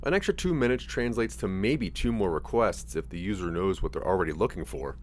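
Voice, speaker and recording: Low voiced guy reading an audio drama story, really animated pitch, noticeable hum and noise behind him. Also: brisk. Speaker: narrator. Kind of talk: scripted second-person audio drama narration.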